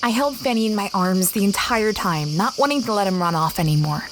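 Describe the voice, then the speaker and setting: A woman's voice: mid-range voice, lively intonation, a little fast, background noise, natural voice. A woman playing an adventurous young explorer who tells her story expressively in a first-person audio drama. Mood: wry, reflective. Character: adventurous young woman explorer recounting a strange expedition, fretful over her dog. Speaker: narrator and lead character. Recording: clear background ambience of jungle insects.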